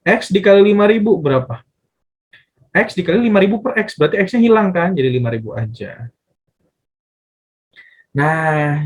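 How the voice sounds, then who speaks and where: A man's voice: high voice, husky. A man explaining math step by step as a teacher, often asking questions and answering them himself and repeating phrases. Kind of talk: casual step-by-step tutorial explanation.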